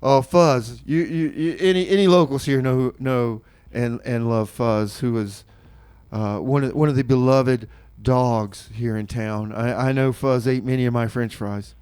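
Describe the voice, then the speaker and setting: A man hosting a community radio music show with casual, rambling, tongue-in-cheek chat, often halting and repeating his words. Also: mid-range voice, a little mellow, clean tone, no room sound.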